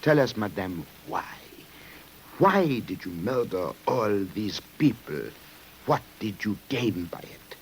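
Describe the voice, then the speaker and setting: An actor playing a stern, commanding French police commissioner in a formal 1950s radio drama style. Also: male voice, on the low side, big pitch swings, takes it slowly. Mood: accusing. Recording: hissy old radio recording.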